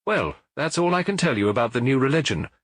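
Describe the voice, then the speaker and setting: A man's voice: mid-range voice, big pitch swings, fast, no echo, pitched above the performer's own voice. A man voicing a tall private detective in an audiobook story, amused, careless and lightly conversational.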